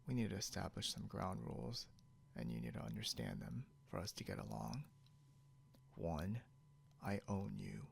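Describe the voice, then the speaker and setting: Man acting a very gravelly, possessive captor, low-voiced. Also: slight background noise, full character voice. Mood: smug, controlling. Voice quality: soft, breathy, often near whisper. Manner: smug, teasing, slow and deliberate. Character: possessive, snarky non-human male captor, condescending yet caretaking. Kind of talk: intimate ASMR roleplay.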